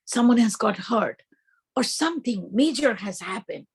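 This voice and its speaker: Husky, creaky Indian-accented woman teaching. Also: fairly high pitch, expressive, moderate pace. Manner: explanatory, asks and answers own questions. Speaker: teacher trainer. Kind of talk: instructional presentation to teachers.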